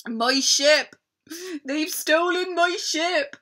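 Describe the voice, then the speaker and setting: A woman puts on a storybook pirate captain, a blustery and easily tearful man. The delivery is loud, bright and lifted in pitch, full of hearty seafaring swagger. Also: drawn-out words, exaggerated voice. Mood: sobbing, distraught. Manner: sobbing, hearty pirate talk. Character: weepy, blustering old pirate captain turned cook.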